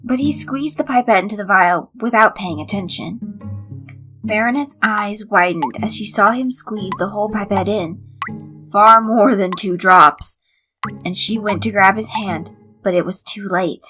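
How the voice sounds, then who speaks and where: A woman's voice: medium pitch, measured pace, sharp tone, rough recording. A woman reading a scripted piece of fan fiction as a narrator. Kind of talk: scripted story narration with character voices. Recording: music under the voice. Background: background music.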